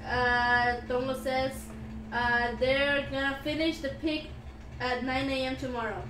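A woman's voice: high voice, steady tone, unhurried, noisy background. A young woman, casually bantering and reading viewer comments on a livestream. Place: indoor room, livestream at desk. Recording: roomy echo, music behind. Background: music.

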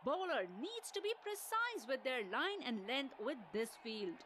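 The keen commentator of a cricket video game, high-pitched over clear stadium noise. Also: female voice, very animated, unhurried, fairly bright tone, exaggerated voice. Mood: measured, analytical. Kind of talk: scripted sports game commentary. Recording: game stadium ambience behind. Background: game ambience.

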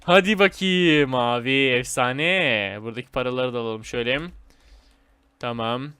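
A man hosting a gaming video with casual, excited commentary, speaking in slangy, repetitive phrases and addressing viewers as friends. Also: medium pitch, normal speed, smooth, no echo.

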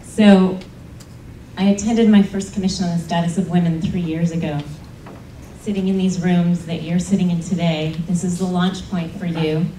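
A woman's voice: lowish voice, steady tone, normal speed, warm tone, a little hiss or hum. A woman telling a personal story earnestly to a panel audience, with emphatic moments. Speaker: panel speaker. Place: conference room. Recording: roomy conference hall audio from a distance.

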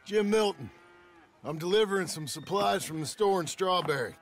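A gravelly, weary American outlaw. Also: male voice, sits high, animated, a little hiss or hum. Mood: earnest. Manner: folksy, plain-spoken, halting. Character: weary gruff outlaw husband trying to go straight. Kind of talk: cinematic western game dialogue.

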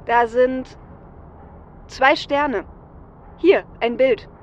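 Woman acting a high-voiced flippant hacker, dull audio. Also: extremely slow, slight background noise. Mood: tense reporting. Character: flippant female hacker watching over a heist team.

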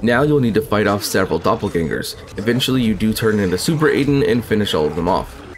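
A man's voice: fairly low pitch, animated, fast, slight background noise. A man narrating a video game walkthrough in a scripted, instructional voice-over. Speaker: gaming guide narrator.

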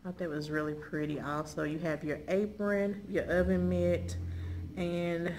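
Low-pitched American woman, casual chat. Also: level tone, measured pace, a little mellow. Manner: folksy, conversational, frequent check-in tags like okay and all right. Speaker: haul video host. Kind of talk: casual chat showing purchases to viewers. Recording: clearly noisy room recording.

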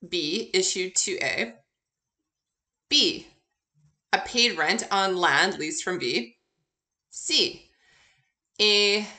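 A woman teaches in a recorded lecture with a bright, clear-cut tone and a medium pitch. She explains conversationally, often asking a question and then answering it. The room adds a noticeable echo around her voice. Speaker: university accounting instructor.

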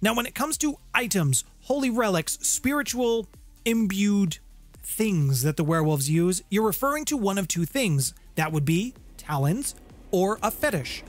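A man's voice: sits high, lively intonation, measured pace, dry recording. Man presenting, close dry mic over music. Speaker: host of a tabletop game lore channel. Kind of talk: scripted explainer to camera, conversational. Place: home music room. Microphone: large studio microphone close up.

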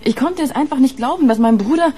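A woman's voice: sits high, fast talker, natural voice. An actress playing a guarded, grieving young woman in a naturalistic radio crime drama. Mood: distraught. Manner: guarded, hesitant. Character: guarded, grieving sister of a dead policeman. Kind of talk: naturalistic radio play acting.

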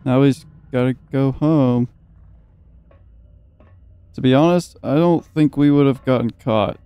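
A man's voice: medium pitch, unhurried, clear, resonant tone, no room sound, highs cut off. A young man giving casual let's play commentary, joking and swearing and at times reading game text aloud. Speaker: gaming video host. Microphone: close, dry microphone.

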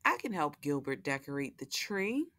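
A woman's voice: low voice, very animated, normal speed, fairly bright tone. A woman voicing an eager little animal girl in a storybook, earnest and keen to help.

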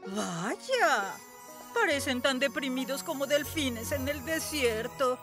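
A woman's voice: sits high, lively intonation, slow pace. A woman voicing a genial, folksy older lady baker in a children's cartoon dub, breezy and theatrical, with a Latin American Spanish accent. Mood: breezy reassurance. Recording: music playing behind the voice.

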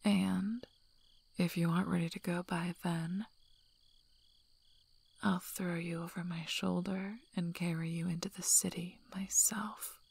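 A woman playing a tough, teasing, gruffly protective werewolf alpha in a soft-spoken, breathy ASMR roleplay, with dry delivery. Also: on the low side, steady tone, unhurried, pauses often, full character voice. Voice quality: breathy, whispery, husky.

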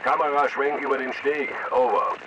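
A man's voice: mid-range voice, little pitch movement, moderate pace, muffled recording, noisy background, close to an everyday voice. A man playing a clipped, authoritative military command-post radio operator in a video game. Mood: commanding, urgent.

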